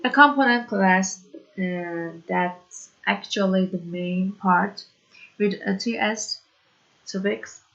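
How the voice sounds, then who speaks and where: A woman from Iran, whose first language is Persian, teaching a programming tutorial in slow, hesitant English full of fillers. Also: medium pitch, gravelly, a bit bright, highs cut off. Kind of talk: screen-recorded coding tutorial.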